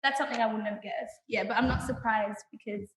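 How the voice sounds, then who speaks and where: A young woman co-hosting a casual chat, animated and laughing, thinking aloud with plenty of fillers. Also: fairly high pitch, brisk. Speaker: co-host. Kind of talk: casual chat playing a card game. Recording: echoey room.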